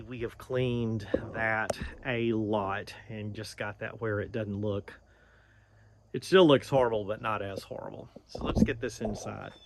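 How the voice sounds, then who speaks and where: A man's voice: mid-range voice, background noise. An American man chatting casually to viewers as he works on projects, folksy and informal, thinking aloud. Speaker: host of a garage project vlog. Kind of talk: casual chat to viewers while working. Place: garage and yard.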